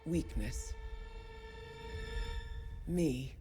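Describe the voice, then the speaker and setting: Cool spymaster woman, very slow and low, calculating, game cutscene, music behind. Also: expressive, exaggerated voice. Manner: deliberate, composed. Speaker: video game actress. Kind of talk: cinematic game dialogue.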